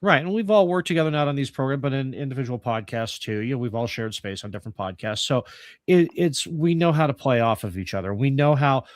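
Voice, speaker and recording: A man's voice: mid-range voice, quick pace, dry recording, studio quality. A man chatting casually with a group as one of a troupe of radio players, effusive and given to swearing. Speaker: member of a radio players troupe on a podcast network.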